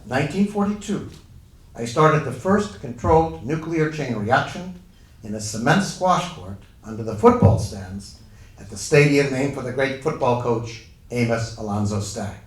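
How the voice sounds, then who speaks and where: A man playing an earnest Italian-born physicist recounting his own life in a formal, declamatory dramatic reading. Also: fairly high pitch. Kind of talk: first-person dramatic reading of a historical monologue. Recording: echoey room, distant microphone.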